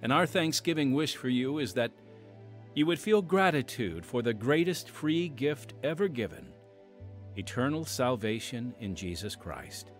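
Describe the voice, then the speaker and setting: American guy hosting a faith podcast, dramatic scripted read, very expressive, soft music under him. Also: medium pitch. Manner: measured, emphatic, dramatic phrasing. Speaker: podcast host and preacher. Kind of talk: scripted narration with devotional appeal.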